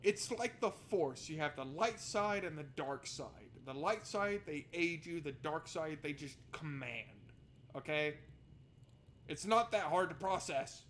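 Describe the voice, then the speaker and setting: A man with a somewhat high voice plays through a story game, reading the narration aloud. He breaks off for sarcastic, exasperated commentary. There is some background noise in the recording. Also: a little slow, a bit bright. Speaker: video game streamer. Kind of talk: let's play commentary with read-aloud narration.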